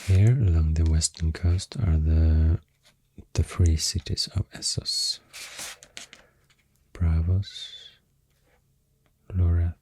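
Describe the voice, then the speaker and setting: A man with a Swedish accent speaking in a soft, breathy near-whisper, rambling in an unhurried, hesitant ASMR explanation. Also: low-pitched. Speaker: ASMR creator. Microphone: close-up ASMR microphone.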